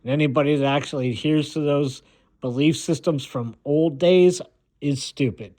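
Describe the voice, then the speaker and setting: American man, somewhat higher voice, blunt, dismissive, rambling vlog monologue, halting repeats. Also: a little mellow. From United States. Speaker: video maker.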